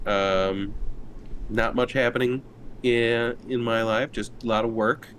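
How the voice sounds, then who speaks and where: Dryly joking man, medium pitch, slight noise. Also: little pitch movement, unhurried, fairly bright tone. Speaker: player on a tabletop roleplaying show. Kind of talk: casual banter.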